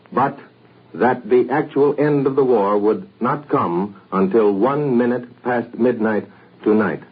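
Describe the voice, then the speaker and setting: An American man anchoring a 1940s radio news broadcast in a measured, formal way, on an old radio recording. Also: mid-range voice, slightly rough, phone-quality sound.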